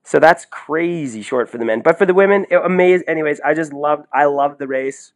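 Excitable man chatting about sport. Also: on the high side, brisk. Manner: excitable, effusive, slangy. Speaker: sports podcast co-host. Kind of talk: casual sports commentary chat.

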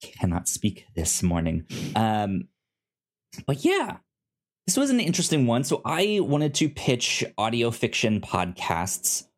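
A man's voice: medium pitch, wildly swooping pitch. Guy podcast host, casual and stuttery, keeps restarting words, mid-range voice. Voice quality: stammer, repeats starts of words. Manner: halting, informal. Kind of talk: casual review chat.

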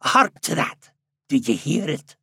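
A man voicing a frail, excitable, pious old seaside man in a story, with archaic rustic speech and drawn-out exclamations. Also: on the high side, creaky, breathy edge, dry recording, clean studio sound, heavily acted. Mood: excited insistence.

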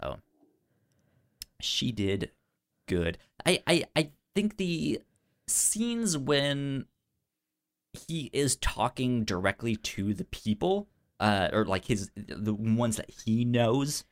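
A man with a mid-pitched voice talks casually as a film podcast co-host. His delivery is halting, with stuttered sounds and words repeated before he moves on. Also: sing-song.

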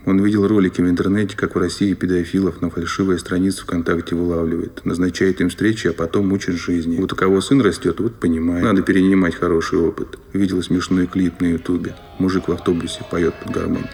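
A man's voice: low voice, flat delivery, quick pace, creaky, few pauses, a little mellow. A man performing scripted narration in an audio play. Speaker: actor reading a monologue.

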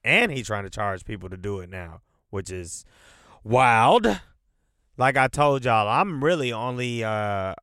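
A man with a stuffed-up nose hosts a podcast on his own, chatting loosely, filling the gaps with "um" and "uh," and cursing freely. He talks at an unhurried pace in a smooth, resonant voice. His voice is recorded close and dry, with no room sound. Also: fairly low pitch, pushed and bright, no room sound. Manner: loose, profane, rambling with fillers. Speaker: podcast host. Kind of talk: casual solo podcast chat.

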